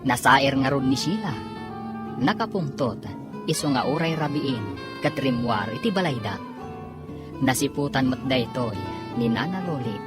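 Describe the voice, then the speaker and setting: A woman's voice: a bass voice, animated, unhurried, long pauses, music in the background. A woman with a Filipino accent reading scripted narration as the narrator of a radio drama.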